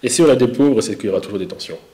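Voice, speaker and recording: A man in his thirties with a Belgian French accent, talking in a lively, animated way about faith and forgiveness. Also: medium pitch, rapid-fire. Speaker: co-host and street interviewer. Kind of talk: casual faith discussion. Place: indoor studio set, echoey room. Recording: roomy echo.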